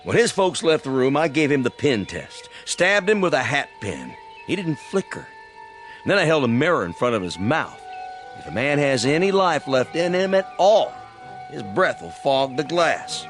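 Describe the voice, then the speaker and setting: Expressive small-town doctor played by an actor. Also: male voice, medium pitch, soft background music, close to an everyday voice. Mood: defensive, firm. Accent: American. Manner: measured, defensive. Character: defensive, dignified small-town country doctor. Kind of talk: radio drama acting.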